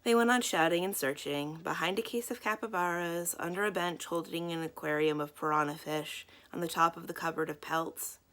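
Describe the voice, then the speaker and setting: A woman's voice: fairly low pitch, fairly quick, hardly any pauses, a bit bright. A woman reading a children's book aloud as a storyteller, in scripted narration.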